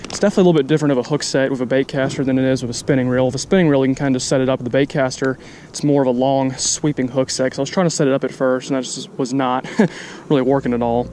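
A man's voice: medium pitch, steady tone, rapid-fire, a little mellow. A young man chatting to the camera while fishing outdoors at a pond, rambling with lots of fillers. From central Texas. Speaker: fishing vlogger. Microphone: action camera mic. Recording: outdoor noise behind.

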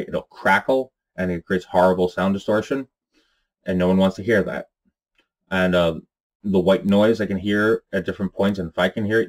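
Brief: low-voiced man in his forties, earnest rambling vlog host, seated in a small home room. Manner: rambling, many fillers, earnest. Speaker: channel host. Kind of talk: casual talk to camera. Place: small room with bookshelves and dark curtain.

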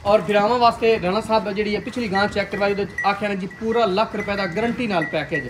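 A man's voice: high-pitched, steady tone, fast, background noise. A man with a Punjabi accent presenting cows for sale in an outdoor farmyard, with hearty salesman patter aimed at his viewers. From Pakistani Punjab. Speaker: livestock video presenter.

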